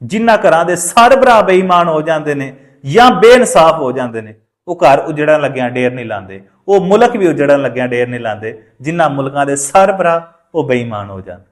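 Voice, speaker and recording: A man's voice: fairly high pitch, big pitch swings, a little fast. A man with a Punjabi accent telling a moral story aloud, emphatically repeating phrases for effect. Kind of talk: oral moral storytelling with acted dialogue.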